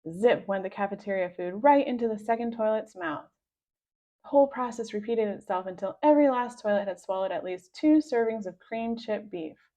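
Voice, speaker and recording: A woman reading aloud a children's story with expressive scripted narration, on a slightly dull recording. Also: mid-range voice, moderate pace. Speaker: storybook reader.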